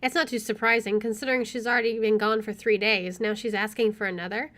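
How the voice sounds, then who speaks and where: A woman's voice: mid-range voice, fairly even intonation, fast, fairly bright tone. A woman doing a game playthrough, reading narration aloud expressively and adding giggly asides of her own. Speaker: let's player.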